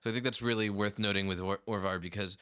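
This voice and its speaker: Fast-talking, slightly low-voiced male guest. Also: fairly even intonation, fairly bright tone, smooth. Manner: dry, irreverent humor. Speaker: podcast guest. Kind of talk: casual gaming podcast chat.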